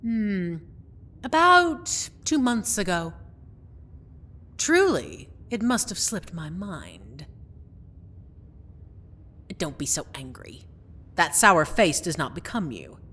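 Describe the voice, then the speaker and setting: A woman playing a cold, scheming royal stepmother in a fantasy audio roleplay, mockingly sweet and condescending, with drawn-out taunts. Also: lowish voice, very animated, fairly bright tone, slightly rough, exaggerated voice. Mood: cold, taunting.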